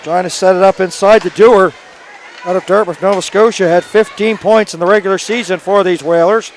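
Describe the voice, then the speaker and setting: A hockey play-by-play man with a raspy, worn-out voice that sits high for a man. He rattles through the action at speed and jumps up in pitch when a chance comes. A faint hiss hangs under the arena feed. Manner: rapid, rising to excited calls on scoring chances. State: hoarse from days of calling games. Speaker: play-by-play announcer. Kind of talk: live hockey play-by-play commentary. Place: arena broadcast booth.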